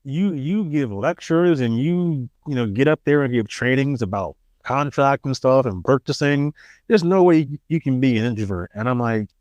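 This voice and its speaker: A man with a New York accent, co-hosting a podcast in casual chat, soft-spoken and halting, often restarting his sentences with a self-deprecating air. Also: mid-range voice, animated, no echo. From Queens, New York. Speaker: podcast co-host.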